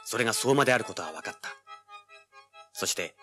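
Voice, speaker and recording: A cocky young treasure hunter in a radio drama. His voice is bright and forceful, intense and theatrical, with faint music underneath. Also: on the low side, expressive, a little fast. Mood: intent, dramatic. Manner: cocky, intense, rough casual speech. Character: brash, driven young male treasure hunter narrating his adventure. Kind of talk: dramatic first-person narration and dialogue, audio drama.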